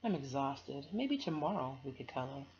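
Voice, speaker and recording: A woman's voice: very deep voice, expressive, moderate pace, a little mellow. A woman voicing a tired, earnest, bookish little schoolgirl from a children's storybook. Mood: tired, declining. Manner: weary, earnest. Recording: dull, noisy home recording.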